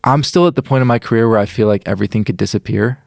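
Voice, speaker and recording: A man's voice: fairly low pitch, racing, gravelly, darkish tone, no room sound, studio quality. A young man from Illinois, a musician being interviewed, talking casually and earnestly with lots of "like" and restarts. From Illinois, USA. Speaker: musician guest.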